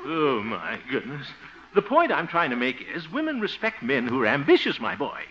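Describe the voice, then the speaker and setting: A pompous, good-hearted sitcom man who is easily exasperated. His highish voice swings wildly as he blusters and grumbles under his breath. It sounds like a muffled 1950s radio comedy. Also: wildly swooping pitch, moderate pace, a bit bright, a little hiss or hum. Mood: lecturing. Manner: blustering, muttered asides, frequent chuckles. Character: exasperated, pompous, good-hearted grown son and self-styled mentor. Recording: dull old-time radio recording.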